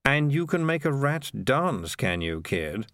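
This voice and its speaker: A man voicing a cocky, menacing piper in a storybook, smug and threatening, with a slow, condescending drawl. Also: mid-range voice, big pitch swings, a bit bright, no echo, clean studio sound. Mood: smug menace.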